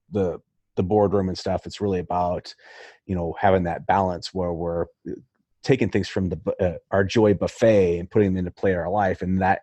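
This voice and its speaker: A man hosting a podcast, casually summing up in a halting way as he feels for his words. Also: sits low, dark tone, no echo. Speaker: podcast host.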